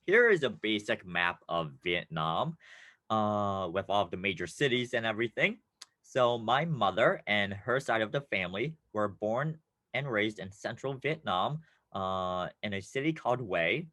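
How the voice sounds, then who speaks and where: A man's voice: on the low side, unhurried, dry recording. Upbeat man teaching a class lesson. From United States. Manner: upbeat, playful, with bursts of excitement. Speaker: teacher presenting a class video.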